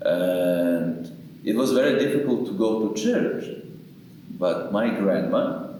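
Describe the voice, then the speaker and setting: A man from Ukraine with a Ukrainian accent, a priest answering interview questions and telling his story in halting English, repeating words as he searches for them. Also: low-pitched, animated, mellow. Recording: echoey room, mic far off, faint noise.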